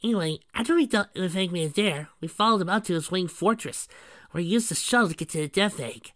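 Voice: medium pitch, brisk, fairly bright tone, no echo, clean studio sound, voice pushed up, natural voice. A performer voicing an earnest, cheerful young boy fox sidekick, eagerly explaining in a light, youthful tone.

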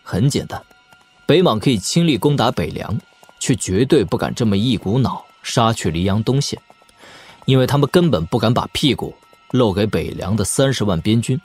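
An actor playing a shrewd, sardonic young lord in a martial-arts audio drama, expounding wryly at length. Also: male voice, on the low side, animated, moderate pace, darkish tone, slightly rough. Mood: confident, explaining. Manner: wry, expounding at length, with snorts and sighs. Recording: music behind. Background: background music.

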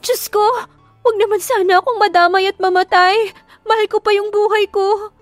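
A feisty young heroine in a romance audiobook, acted out by a woman narrator. Her voice sits very high and exclaims in a flustered, stammering way, recorded dry over faint music. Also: fairly even intonation, normal speed, dry recording. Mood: panicked, pleading.

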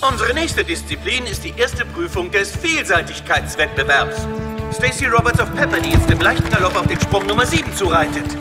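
Excited horse show announcer, high voice swooping up and down, loud music. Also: male voice, a little fast. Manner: showy, excited. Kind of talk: event announcing and sports commentary.

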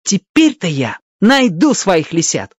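A man's voice: high-pitched, wildly swooping pitch, slow, husky, no room sound, highs cut off, voice pushed up, full character voice. A man voicing an earnest, caring schoolboy in a radio story. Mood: hopeful.

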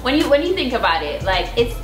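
Woman with Jamaican accent, expressive up-and-down voice, earnest chatty tone, echoey room, music behind. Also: fairly high pitch. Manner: earnest, quick to laugh, agreeable. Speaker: guest. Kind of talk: casual interview chat. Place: indoor studio set with couch. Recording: roomy studio with music behind.

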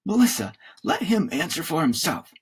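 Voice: mid-range voice, very animated, moderate pace, breathy edge, voice pushed up, exaggerated voice. A man voicing a stern, exasperated father in a story reading, with a firm, reproving manner.

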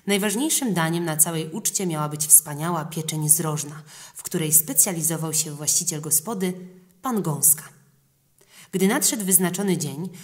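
A woman reading a children's legend as expressive storybook narration. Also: on the low side. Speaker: audiobook reader.

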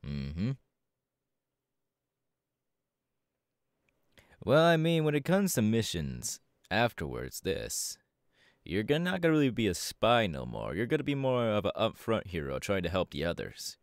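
A man playing a proud, laid-back superhero mentor in an ASMR audio roleplay, talking casually with drawling, hesitant pauses. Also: on the low side, wildly swooping pitch, no room sound, clean studio sound. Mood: proud, encouraging.

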